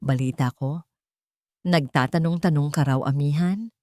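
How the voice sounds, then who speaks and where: A woman's voice: deep voice, normal speed, a little mellow, dry recording, studio quality, close to an everyday voice. A woman voicing a menacing, arrogant rich aunt in a story, mocking and condescending. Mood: mocking menace. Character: menacing wealthy aunt, arrogant villainess turning desperate.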